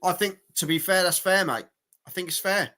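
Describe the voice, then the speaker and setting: Male stream host, sharp forceful voice going hoarse, blokey and repetitive, reacting live to boxing. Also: high-pitched, moderate pace. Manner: blokey, sweary, repeats phrases for emphasis. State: hoarse, losing his voice. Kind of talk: casual live sports reaction chat.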